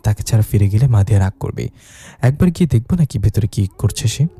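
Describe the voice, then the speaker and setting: A cowardly young man played by a male narrator mutters and dithers, trying to sound brave. His voice is rather low, very dark and husky, in a spooky storytelling style. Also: lively intonation, quick pace. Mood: nervous dithering. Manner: muttering, hesitant.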